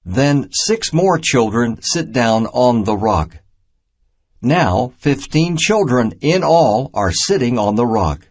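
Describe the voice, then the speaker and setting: A man reads a simple children's lesson aloud at a slow, deliberate pace, setting each short phrase apart. His mid-pitched voice has a husky edge and moves up and down in a lively way as he narrates. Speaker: narrator of a children's math storybook. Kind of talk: scripted read-aloud narration, simple and instructional.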